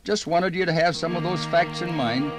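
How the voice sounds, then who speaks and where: A man's voice: fairly high pitch, moderate pace, over music. A man giving folksy 1950s radio narration, chatting directly to the listener. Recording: 1950s vinyl radio recording.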